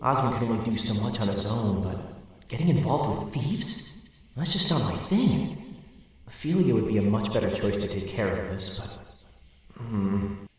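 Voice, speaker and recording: A man's voice: lowish voice, sing-song, normal speed, breathy edge, full character voice, poor audio. A man playing a reluctant but protective young merchant's son in an audio drama, musing and grumbling as he speaks. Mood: weary, reluctant.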